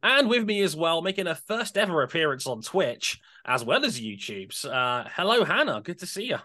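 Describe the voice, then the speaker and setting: A man's voice: on the high side, very animated, moderate pace, bright, forceful tone. A man hosting a podcast, talking casually in a wry, self-deprecating way and often repeating words as he starts. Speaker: podcast co-host. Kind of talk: casual chat.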